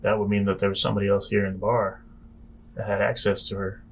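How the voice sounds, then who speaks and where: A man's voice: fairly low pitch, level tone, fast talker, a little hiss or hum. A man playing a tabletop role-playing game, speaking improvised lines in character. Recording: slightly dull sound with music behind. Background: music.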